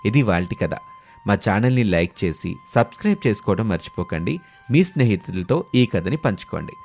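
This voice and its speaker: A man with a fairly low voice reads a scripted family tale as its storyteller. Music plays behind his narration. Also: expressive, brisk, vocal fry, a little mellow.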